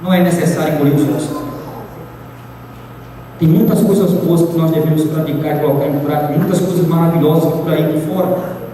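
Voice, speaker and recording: A man preaching a sermon, swinging from loud high outbursts to low asides. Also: brisk. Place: large church hall. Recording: echoey hall from far off.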